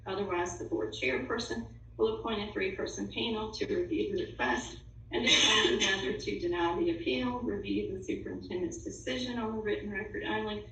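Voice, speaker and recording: A woman's voice: medium pitch, fairly bright tone. A woman reading a written statement aloud at a public meeting in a formal, stern manner. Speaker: speaker reading a public complaint to a school board. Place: indoor public meeting room. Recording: roomy, distant mic, faint noise.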